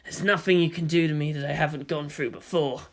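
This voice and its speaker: A man voicing a teenage boy, a commander's son in a story, pleading yet composed and softly defiant. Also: on the high side, fairly even intonation, fairly quick. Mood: quietly defiant.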